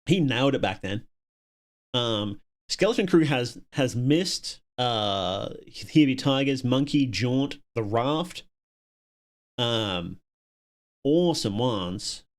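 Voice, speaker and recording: A man's voice: mid-range voice, lively intonation, slow pace, long pauses. A man hosting a casual livestream, chatting to viewers and thinking aloud in a rambling, opinionated way. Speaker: livestream host.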